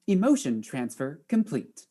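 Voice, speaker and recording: Woman acting a very low, husky robot butler. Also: big pitch swings, unhurried, warm tone, full character voice. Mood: flat insistence. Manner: formal, precise, clipped robotic phrasing. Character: possessive, literal-minded AI robot butler, coolly insolent.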